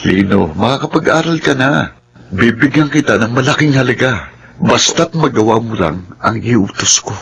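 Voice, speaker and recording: An actor playing a scheming, hot-tempered rich son in a radio drama, bossy and quick to get defensive. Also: male voice, mid-range voice, lively intonation, vocal fry, fairly bright tone, a little hiss or hum. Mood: smooth, persuasive. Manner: bossy, defensive, stammers when cornered.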